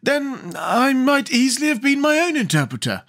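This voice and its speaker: A male reader puts on a fussy scarecrow king for a storybook, pitched very high and swinging widely up and down. He is stately, wordy and admonishing, a pompous ruler who is easily bewildered. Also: very animated, normal speed, fairly bright tone, exaggerated voice. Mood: mild, amiable. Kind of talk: storybook character voice.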